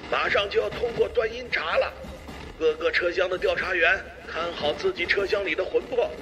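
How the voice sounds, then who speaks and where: A man voicing an urgent monk commander who shouts orders through a tinny loudspeaker in a dramatised audiobook. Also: sits high, a little fast, voice pushed up. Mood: urgent warning. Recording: music playing behind the voice.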